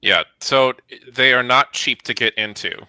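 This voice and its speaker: A man speaking as an interview guest, casually recounting things with frequent pauses and a wry touch. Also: mid-range voice, bright, forceful tone, clear, resonant tone, no room sound. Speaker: interview guest, studio co-founder.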